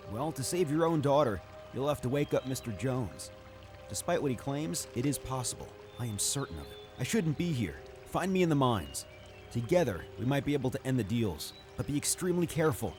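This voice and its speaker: A man's voice: medium pitch, darkish tone, close to an everyday voice. A man playing an earnest, secretive undead alchemist in a video game, speaking with urgency. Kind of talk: video game cutscene acting.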